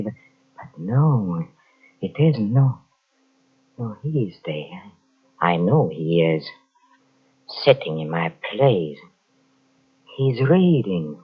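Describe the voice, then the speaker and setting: An actor playing a haunted Frenchman descending into madness in an old radio horror drama, halting and melodramatic, with repeated broken phrases. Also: a little high, husky, full character voice. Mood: fearful awe. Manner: halting, breathless repetitions, melodramatic. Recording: muffled old radio drama cassette transfer.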